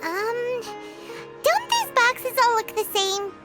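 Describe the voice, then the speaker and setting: Very high squeaky fairy sidekick. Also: female voice, big pitch swings, takes it slowly, heavily acted. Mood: curious. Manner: squeaky, childish, speaks of self in third person. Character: tiny excitable female fairy companion, childlike and blunt. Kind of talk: video game character acting. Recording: loud game music behind.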